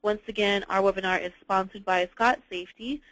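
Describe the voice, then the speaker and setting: A woman hosting a webinar, reading the closing thanks and announcements in a polite, steady way. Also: mid-range voice, fairly quick, rough recording. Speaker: webinar host.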